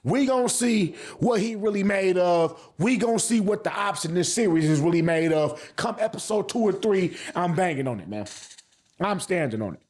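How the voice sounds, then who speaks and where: A man's voice: high voice, expressive, moderate pace, a little mellow. A man giving casual, opinionated anime commentary as a vlog host, speaking in emphatic slang with frequent swearing and repeated phrases. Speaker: anime reviewer and channel host.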